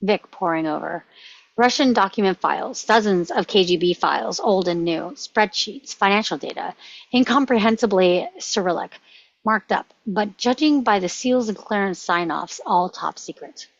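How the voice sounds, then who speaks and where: A woman's voice: mid-range voice, moderate pace, vocal fry, dry recording, lo-fi sound. A woman reading stage directions as scripted narration at a screenplay table read.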